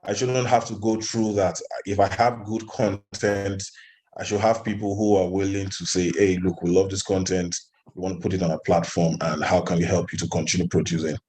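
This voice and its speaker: A man's voice: low voice, little pitch movement, normal speed, darkish tone, rough recording. A man from Nigeria giving conversational interview answers as an animation studio founder, in hesitant phrasing with repeated restarts.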